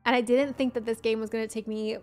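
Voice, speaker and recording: Hoarse, chatty young woman streamer. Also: fairly high pitch, flat delivery, fast talker, a little mellow, faint music behind. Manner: chatty, rambling, talks to chat. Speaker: game streamer. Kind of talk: casual live-stream chat.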